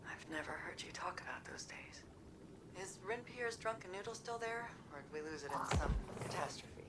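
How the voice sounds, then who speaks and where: A woman's voice: on the low side, animated, moderate pace, very noisy, lightly acted. A woman voicing a tough, blunt female soldier in a video game cutscene, plainspoken and candid. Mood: earnest.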